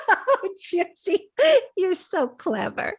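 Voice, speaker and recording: An actress playing a conniving, adoring working-class wife in old-time radio sitcom style. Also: female voice, very high voice, big pitch swings, drawn-out words, fairly bright tone, exaggerated voice. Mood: delighted.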